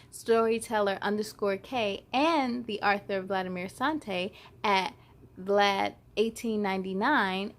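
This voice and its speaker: High-voiced woman reading aloud to children. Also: slight background noise. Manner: encouraging, addresses children directly. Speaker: children's storytime host and reader. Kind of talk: expressive read-aloud narration for young children.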